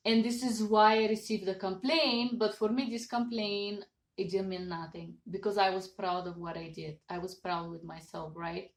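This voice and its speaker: A woman's voice: mid-range voice, fairly even intonation, moderate pace. A woman in her thirties with a Bulgarian accent, earnestly giving business advice to a webcam and stressing each numbered point. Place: home office with certificates on wall. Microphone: webcam at desk. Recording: echoey room.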